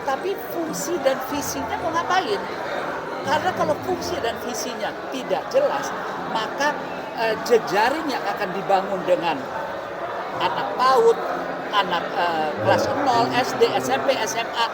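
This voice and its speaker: A man's voice: very high voice, lively intonation, measured pace, very noisy. A man giving emphatic, unscripted interview remarks as a politician. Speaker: member of parliament giving an interview. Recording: loud crowd and music in public space.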